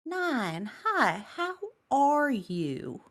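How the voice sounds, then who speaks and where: A woman acts out a friendly, plain-spoken woman in a table read. The voice is a little high, with big melodic swings. The talk is slow-paced and chatty. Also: very animated, heavily acted. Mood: friendly, delighted. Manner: chatty, encouraging. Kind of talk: table read of a science fiction story.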